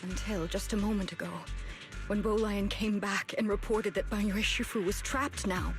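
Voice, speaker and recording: A woman's voice: medium pitch, fairly even intonation, moderate pace, natural voice. A woman playing an earnest young female character in video game dialogue. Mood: worried. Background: game music.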